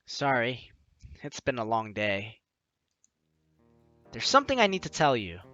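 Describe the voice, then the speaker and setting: A man's voice: mid-range voice, huge pitch swings, measured pace, pushed and bright, muffled recording, soft background music. A man hosting a let's play, expressively reading a story game's text aloud and reacting with enthusiasm. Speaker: gaming video host.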